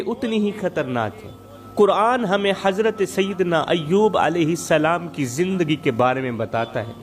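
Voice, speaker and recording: High-pitched, dramatic male preacher over music. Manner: dramatic, rhetorical, repeats phrases for emphasis. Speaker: Islamic preacher and storyteller. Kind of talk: emotive Urdu religious sermon with moral storytelling. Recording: background music under the voice.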